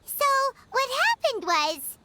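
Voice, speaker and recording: A woman's voice: extremely high pitch, very animated, slow pace, background noise, full character voice. A woman voicing a small, childlike fairy companion in a video game, perky and outspoken. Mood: explaining.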